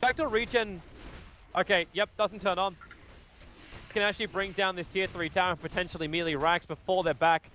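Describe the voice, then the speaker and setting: A man with an Australian accent giving rapid, excitable play-by-play commentary on an esports match. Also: high voice. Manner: rapid, excitable calling of the action.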